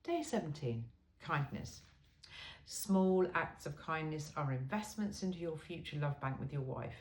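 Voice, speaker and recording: Low-pitched woman reading with lively swings. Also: a little slow. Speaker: reader of a self-help book. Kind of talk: informal read-aloud narration of a self-help book.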